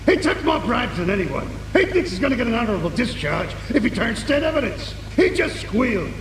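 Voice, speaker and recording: A man playing a shifty, agitated middle-aged American crook and army veteran in a video game, gruff and conspiratorial. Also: very high-pitched, very animated, fairly quick, heavily acted. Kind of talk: video game cutscene acting. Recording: echoey, distant, faintly noisy.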